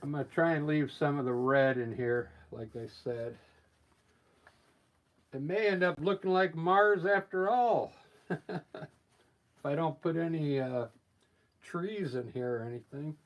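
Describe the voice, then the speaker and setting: A man with a scratchy voice from allergies, painting live and explaining his steps in a relaxed, thinking-aloud way. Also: on the high side, measured pace, long pauses, lo-fi sound. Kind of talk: casual live painting demonstration.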